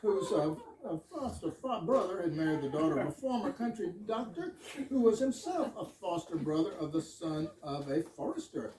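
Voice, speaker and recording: Rambling shy fire chief acted by an amateur player, stage comedy, really animated, echoey and far from the mic. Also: medium pitch, normal speed, close to an everyday voice. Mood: rambling, earnest. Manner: long-winded run-on storytelling. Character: bashful, rambling fire chief telling absurd tales. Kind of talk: amateur stage readers' theater of absurdist comedy. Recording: echoey room, distant mic.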